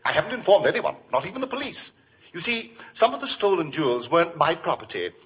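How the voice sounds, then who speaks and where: Flustered indignant british shopkeeper, self-important, old radio drama, muffled noisy recording. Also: male voice, on the high side, sing-song, fast, fairly bright tone. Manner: pompous, spluttering. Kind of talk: 1940s radio acting.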